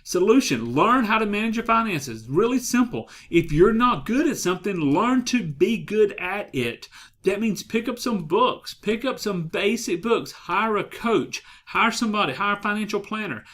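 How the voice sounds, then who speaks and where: A man's voice: sits high, lively intonation, normal speed, fairly bright tone. A man giving a motivational talk as a coach, emphatic, repeating key phrases and asking the listener direct questions. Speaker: life and business coach.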